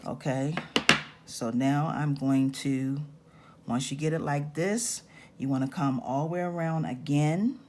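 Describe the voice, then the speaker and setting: Woman, slightly raspy, unhurried step-by-step craft teaching, faint hiss behind. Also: low-pitched. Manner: slow, patient step-by-step instructions. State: raspy-voiced this morning. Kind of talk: hands-on craft tutorial.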